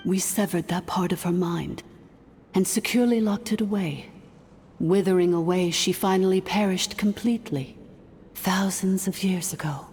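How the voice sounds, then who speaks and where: An ancient machine ruler acted by a woman speaks low and mellow in a long, cavernous reverb. The manner is formal, imperious and calm, solemn game dialogue with music underneath. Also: a little hiss or hum, lightly acted. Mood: composed, explanatory. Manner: formal, measured, stately. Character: calm, imperious female ancient dragonkind artificial intelligence sovereign.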